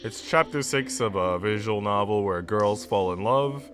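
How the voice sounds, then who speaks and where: A man's voice: fairly low pitch, unhurried, a bit bright. A man chatting casually on a livestream as he reads a visual novel. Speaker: streamer reading a visual novel aloud to chat. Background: music.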